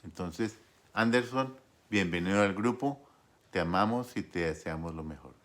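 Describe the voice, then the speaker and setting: A man with a very low voice gives a sermon to his congregation. He talks at an unhurried pace, pausing on fillers and restarting phrases, and often checks in with his listeners. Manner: warm pastoral tone, asks "¿no?" often. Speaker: preacher.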